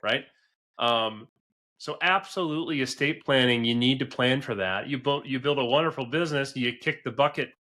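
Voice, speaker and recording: Bright, forceful man of forty hosting a podcast. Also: medium pitch. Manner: earnest, emphatic, often checks with "right?". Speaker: podcast host, business advisor. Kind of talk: conversational business advice podcast.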